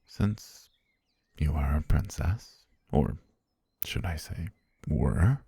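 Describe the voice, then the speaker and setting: Casting: male actor as obsessive genteel butler captor, extremely low gravelly voice, slow and softly menacing, for fantasy ASMR roleplay. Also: expressive, no room sound, full character voice. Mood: possessive, tender. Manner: genteel, unhurried, softly menacing, often near whisper. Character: obsessive, sweetly menacing butler holding a princess captive.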